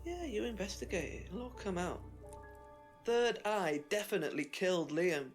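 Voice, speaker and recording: Agitated high-voiced conspiracy theorist. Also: male voice, animated, normal speed, soft background music. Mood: defensive, insistent. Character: agitated male conspiracy theorist, smug and insistent. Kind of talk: FMV mystery game acting.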